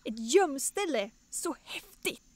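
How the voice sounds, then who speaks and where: A woman's voice: high-pitched, expressive, a little mellow, no room sound. A woman voicing a playful, headstrong young lion prince in a cartoon fan dub, cheeky and eager.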